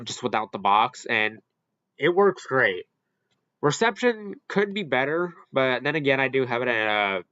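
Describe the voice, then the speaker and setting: A man hosting a casual, unscripted ranking commentary, halting and rambling as he corrects himself along the way. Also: medium pitch, pushed and bright, dry recording, lo-fi sound. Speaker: video host ranking products.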